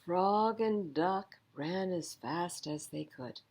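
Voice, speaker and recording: A woman storyteller reads a picture book for children. Her voice is low, mellow and slightly husky, with expressive, lively intonation. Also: slow pace.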